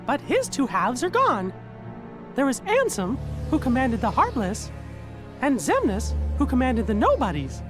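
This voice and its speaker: High-pitched plucky little mouse king. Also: wildly swooping pitch, a little slow, music in the background, full character voice. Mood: worried. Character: small heroic mouse king, earnest, boyish and plucky. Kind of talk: dramatic video game voice acting.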